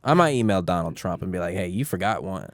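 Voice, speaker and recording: A young man co-hosting a podcast, joking with deadpan asides. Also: low voice, fast talker, no room sound, clean studio sound. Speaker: podcast co-host. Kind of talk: casual banter.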